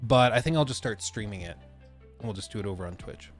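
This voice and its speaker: A man's voice: fairly low pitch, animated, fast talker, faint music behind. A young adult man with a stuffed-up nose, hosting a casual live stream and rambling with lots of fillers. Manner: rambling, chatty, many fillers.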